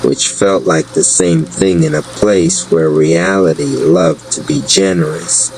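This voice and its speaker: A man giving a solemn, measured spoken-word narration of a scripted story. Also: sits low, big pitch swings, gravelly, background noise.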